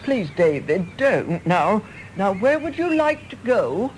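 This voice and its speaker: An actress playing an anxious, solicitous older mother in a radio play, hesitant and gently coaxing. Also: mid-range voice, sing-song, slow pace, slight background noise.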